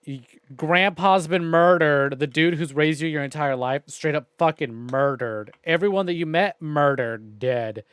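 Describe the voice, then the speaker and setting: A man's voice: a little high, fairly bright tone, clear, resonant tone, no room sound, studio quality. A man streaming a visual novel game, reading aloud and commenting in a wry, conversational way. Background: faint game music.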